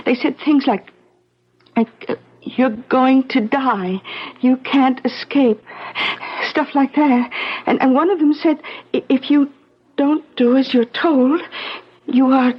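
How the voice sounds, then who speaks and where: Weak, groggy assistant, slow and high. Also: female voice, husky, heavily acted. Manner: halting, stammering, trailing off. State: weak and drowsy. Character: weak, groggy young female assistant recovering from poisoning. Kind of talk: 1970s radio drama acting. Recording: dull old 1970s radio broadcast.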